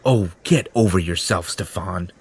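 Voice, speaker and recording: A man's voice: sits low, a little slow, breathy edge, a faint crowd, exaggerated voice. A man playing an arrogant, possessive superhero in an audio drama, threatening, smug and domineering. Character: arrogant, possessive male superhero turned menacing bully. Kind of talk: audio roleplay drama acting.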